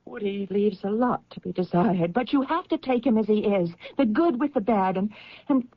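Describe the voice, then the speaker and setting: A woman's voice: medium pitch, expressive, moderate pace, no echo, dull audio, close to an everyday voice. A woman acting in an old-time radio drama as an insistent wife convinced that her husband is alive, earnest and emphatic under strain. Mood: stubborn, emotional.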